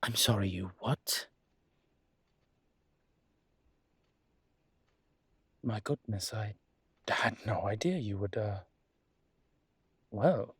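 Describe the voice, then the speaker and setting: A man playing a suave, flirtatious demon professor in an intimate audio roleplay, speaking in a courtly, teasing way with formal wording and hesitant pauses. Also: on the low side, husky, dry recording, full character voice. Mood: flustered, charming.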